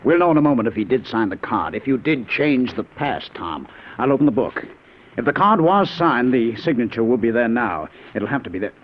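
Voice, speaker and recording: A man's voice: mid-range voice, big pitch swings, a little fast, natural voice. A man acting in a 1950s radio drama as a driven scientist professor, urgent, declamatory and commanding. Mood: tense. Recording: dull, faintly noisy vintage radio recording.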